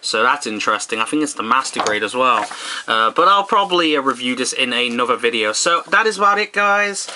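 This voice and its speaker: A mid-pitched man talks his way through an unboxing in a relaxed, halting way, with frequent ums and quick self-corrections. His voice is a little worn, as though he is losing it. Plastic bags crinkle and rustle loudly throughout the noisy recording. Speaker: model kit channel host. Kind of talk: casual unboxing narration.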